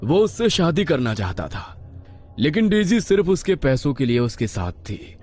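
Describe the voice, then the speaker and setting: A man reading a scripted horror story as a dramatic narrator. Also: mid-range voice. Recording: music behind the voice.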